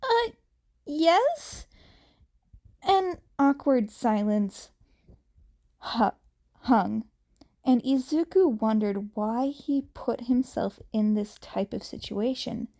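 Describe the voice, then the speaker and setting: A woman reading fan fiction aloud as an expressive narrator, with halting, deliberate pauses. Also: on the high side. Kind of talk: expressive scripted story narration.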